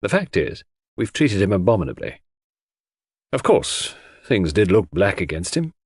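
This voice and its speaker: A man voicing a frank, honest country gentleman in an audiobook, confiding bluntly and with remorse. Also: low-pitched, big pitch swings, unhurried, breathy edge, no room sound, clean studio sound, exaggerated voice. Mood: relieved, guilty.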